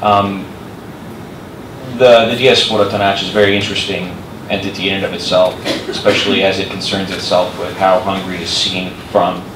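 A man from the United States asks a long question from the floor, hedging carefully with many fillers. His voice is very low. He sounds far from the mic in a reverberant hall with a clear hum. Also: flat delivery, a little fast. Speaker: audience member asking a question. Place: university lecture hall. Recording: distant echoey hall audio with hum.

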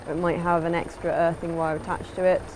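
Woman doing a training voiceover, pretty flat delivery, helicopter noise clearly behind her. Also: fairly low pitch, fast. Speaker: sailing instructor. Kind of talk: instructional voice-over narration.

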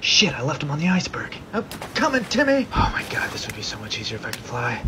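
A man's voice: a little high, wildly swooping pitch, fast, background noise. A man voicing a frantic, flustered penguin parent in a comic animal dub, exclaiming breathlessly and grumbling.

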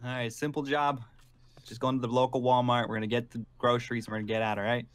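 A man's voice: medium pitch, flat delivery, fast talker, noisy background, close to an everyday voice. A man playing a wary, bossy, no-nonsense survivor who leads a scavenging group in improvised game roleplay. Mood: brisk, alert.

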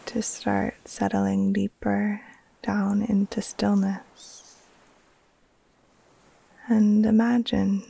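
Female yoga nidra guide: hushed, mellow and unhurried, breathy near-whisper with heavy vocal fry, soothing short phrases. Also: medium pitch, low-quality recording. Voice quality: breathy, soft, often trailing into near-whisper. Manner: slow, soothing, hushed, with long pauses between short phrases. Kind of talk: guided relaxation meditation.

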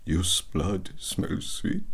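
A man acting as an eerie, courteous telepathic vampire in an ASMR roleplay, whispering slowly in a breathy rasp. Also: darkish tone. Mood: solemn, longing. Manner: slow, solemn, intimate delivery.

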